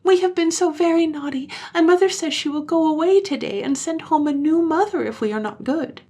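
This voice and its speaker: A woman voicing a group of young children who cry out and beg in high, breathless voices, in a storybook reading. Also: brisk, a little mellow. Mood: pleading. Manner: high, breathless, pleading cries.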